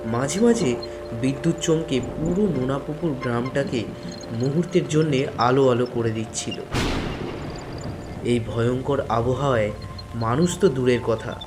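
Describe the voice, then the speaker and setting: A man reading a scripted village story as its narrator, in storytelling style. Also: mid-range voice. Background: background music.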